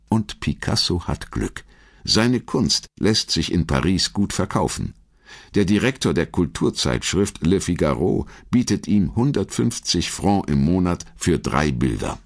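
A man reading a scripted biographical documentary narration in a measured, polished storytelling manner. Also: a bass voice.

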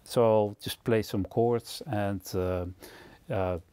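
A man giving an unscripted product demonstration as presenter, explaining in a halting, hesitant way. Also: on the low side, steady tone, warm tone, smooth, dry recording, clean studio sound. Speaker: gear reviewer and presenter.

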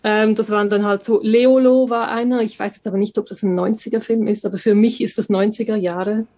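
A woman guest on a film podcast, recalling favourite films in a halting way. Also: medium pitch, fairly even intonation, warm tone. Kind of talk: casual film discussion.

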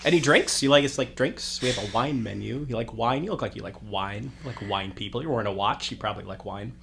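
A man's voice: mid-range voice, fast, creaky, a bit bright, slight background noise. A man playing a chatty, pushy waiter in an improvised comedy, rambling casually and repeating himself. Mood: breezy, smug.

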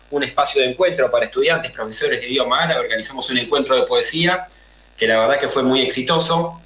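Bright Buenos Aires man, echoey room. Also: mid-range voice, slight background noise. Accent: Buenos Aires Spanish. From Argentina. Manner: earnest, explanatory, lots of fillers. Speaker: guest. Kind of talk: radio interview answers.

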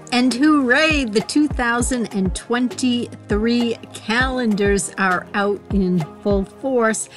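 Woman in her forties, shopping vlog host, chatty and upbeat, slow easy pace, light music underneath. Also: mid-range voice. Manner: chatty and enthusiastic, talking to viewers. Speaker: shopping vlogger. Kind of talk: casual shop-with-me narration.

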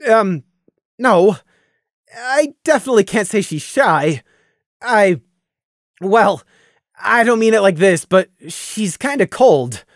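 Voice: mid-range voice, expressive, takes it slowly, long pauses, no echo, studio quality. A storyteller voicing a shy young pony stallion who stammers and protests when teased. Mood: flustered.

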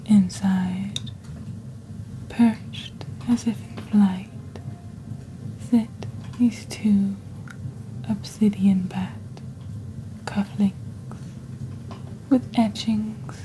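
A young woman playing a genteel witch shopping-channel host in soft-spoken ASMR, with a breathy near-whisper and a deliberate, gently formal delivery. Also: medium pitch, steady tone, a little hiss or hum, poor audio. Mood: soft, soothing. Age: young adult. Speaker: ASMR performer.

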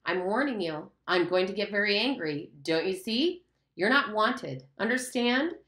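A woman's voice: mid-range voice, expressive, unhurried, fairly bright tone. A woman reading aloud and voicing a mocking, menacing pig's head that speaks as a beast, in a room with a noticeable echo. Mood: threatening. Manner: taunting, condescending, menacing. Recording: roomy echo.

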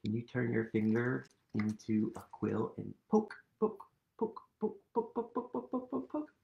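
A man in his thirties reading a picture book aloud to children, animated and playful, leading them in actions, recorded on a webcam in a small room. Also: mid-range voice, unhurried, creaky, highs cut off. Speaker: storytime reader.

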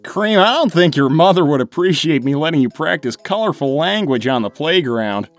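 A man's voice: a little high, animated, moderate pace, soft background music. A man voicing a laid-back, friendly teenage hedgehog hero with a casual, easygoing manner in a cartoon comic dub. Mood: gently chiding.

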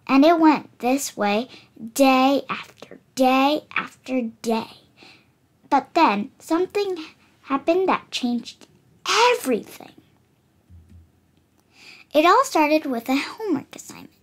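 A woman's voice: sits high, lively intonation, slow, pauses often. A girl of about eight reading a picture book aloud, carefully and expressively, with small stumbles. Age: child, about 8. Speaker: child reader of picture books. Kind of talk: storybook read-aloud.

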